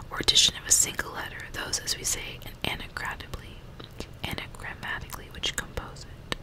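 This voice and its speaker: A woman whispering softly and breathily into a close microphone, ASMR style, reading a book and chatting intimately in asides. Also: poor audio. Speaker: ASMR reader and commentator.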